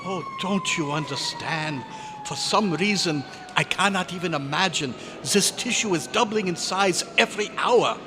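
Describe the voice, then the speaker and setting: Man playing a high-pitched, frantic radio-drama scientist. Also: huge pitch swings, moderate pace. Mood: exasperated, urgent. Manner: urgent, pleading, exclamatory, formal diction. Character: frantic, desperate male scientist warning of impending doom. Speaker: club member performing a radio play recreation. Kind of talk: old-time radio drama acting. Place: hotel convention meeting room, live performance. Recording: noisy live convention room recording.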